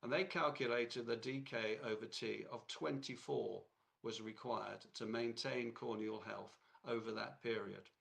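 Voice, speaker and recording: Hoarse, gravelly man lecturing. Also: mid-range voice, fairly even intonation, a bit bright. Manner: halting, with many fillers and restarts. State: hoarse from a cold. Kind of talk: technical lecture.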